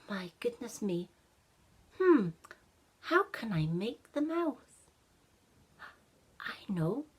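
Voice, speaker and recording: A woman's voice: medium pitch, husky, exaggerated voice. Woman as an eager, exclaiming little storybook boy. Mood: flustered, pondering. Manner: exclaiming, musing aloud. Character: eager, puzzled little boy building a snowman. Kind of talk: children's storybook character voice.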